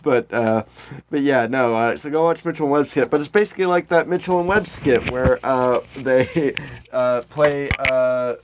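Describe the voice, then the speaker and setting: A man's voice: mid-range voice, moderate pace, a little hiss or hum. A man co-hosting a podcast, riffing loosely in casual, slangy comedic chat. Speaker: podcast co-host.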